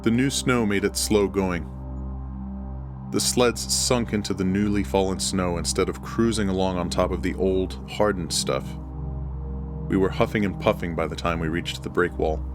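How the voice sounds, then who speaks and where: Male horror narrator, very low register, scripted first-person storytelling with clear music underneath. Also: fairly even intonation, moderate pace. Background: background music.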